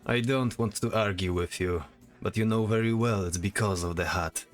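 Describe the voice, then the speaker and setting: Man voicing a stoic soldier, lowish voice. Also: normal speed, faint music behind, lightly acted. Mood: guarded. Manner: terse, serious, restrained. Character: stoic, guarded male soldier focused on his mission. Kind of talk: audio drama dialogue.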